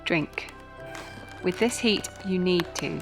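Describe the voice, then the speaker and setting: A woman's voice: on the low side, slow, over music. A woman playing a proper, kindly Victorian nurse in an audio drama, matter-of-fact and chatty. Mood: reassuring.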